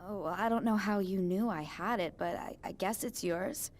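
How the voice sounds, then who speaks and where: A woman plays an everyday young woman in a game scene. Her voice sits at an average pitch, and she speaks in a chatty, hesitant, naturalistic way, friendly yet puzzled and uneasy. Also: moderate pace, a little mellow, lightly acted. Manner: casual, hesitant. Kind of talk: naturalistic video game dialogue.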